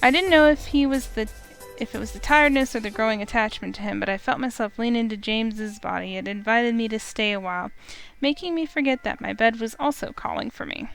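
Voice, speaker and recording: A woman's voice: a little high, brisk, vocal fry, a bit bright, faint music behind, low-quality recording. A woman streamer reading a visual novel aloud, narrating expressively in first person.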